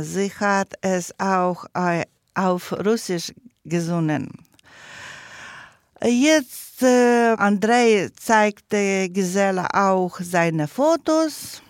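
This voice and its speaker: A woman speaks in a very slow, careful way, stopping often to find the next word. Her voice sits at an ordinary middle pitch and sounds close and dry, with no room around it. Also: no echo. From Ukraine. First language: not German. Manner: hesitant, searching for words, many pauses. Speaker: guest, project participant. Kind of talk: interview, halting learner's German.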